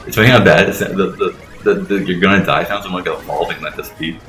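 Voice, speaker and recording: A man's voice: low-pitched, steady tone, quick pace, fairly bright tone, slight background noise. A man giving casual game commentary, explaining things in a rambling way with frequent swearing. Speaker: let's play host explaining the game. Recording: roomy echo, faint game music behind.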